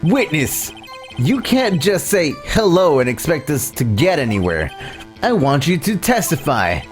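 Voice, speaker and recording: Man playing an earnest young lawyer, lively pitch. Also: a little slow, soft background music. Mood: determined. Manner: declarative, insistent. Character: earnest young male defense lawyer, stubborn and bluffing. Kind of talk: voiced playthrough of a courtroom game.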